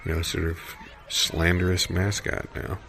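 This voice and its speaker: Man acting a very deep, gravelly school official. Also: flat delivery, fairly bright tone, slight background noise, full character voice. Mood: earnest, rambling. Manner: halting, folksy, self-correcting. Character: male school official running a mascot meeting, earnest, rambling. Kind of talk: improvised comedy dialogue.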